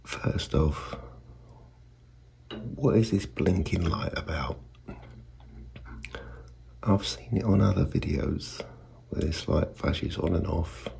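Husky, very deep-voiced man. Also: warm tone. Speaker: video maker, CB radio hobbyist. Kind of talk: casual unscripted demonstration.

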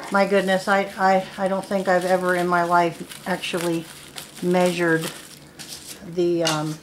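Folksy woman tutorial, noisy home recording. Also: mid-range voice. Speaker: farmer giving a how-to demonstration. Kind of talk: casual step-by-step tutorial. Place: indoors at a wooden table in a home.

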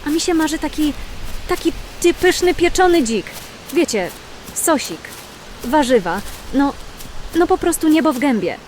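A woman's voice: sits high, lively intonation, normal speed, a little mellow, slight background noise. Woman playing confident, tough female bandit. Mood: dreamy. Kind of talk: fantasy radio drama acting.

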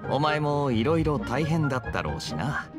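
Suave, lively young captain. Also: male voice, fairly high pitch, moderate pace. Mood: nonchalant. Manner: smooth, playful, nonchalant. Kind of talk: Japanese game voice acting. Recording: loud game music behind.

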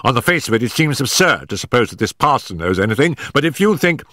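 A man's voice: mid-range voice, very animated, fast, bright, forceful tone, no room sound, studio quality, voice pushed up. A man voicing a sullen, miserly older male client who protests in a peevish, sardonic way in a storybook reading. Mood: grudging.

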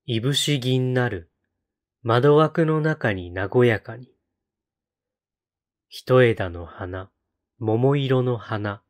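A man reciting poetry in a solemn style, with measured, deliberate phrasing and pauses between lines. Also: mid-range voice. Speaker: poetry reader on a read-aloud channel.

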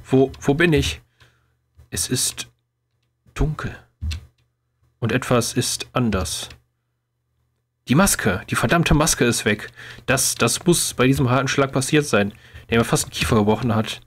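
A man voicing a wounded but determined young male captive in a fantasy game, halting and repeating words. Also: mid-range voice, natural voice. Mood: pained, dazed.

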